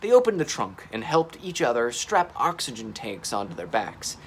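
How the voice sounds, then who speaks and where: A man reading a children's story aloud in an expressive, dramatic storytelling manner. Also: mid-range voice, normal speed, creaky, a little hiss or hum. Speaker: storytime reader. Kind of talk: animated children's audiobook-style storytelling.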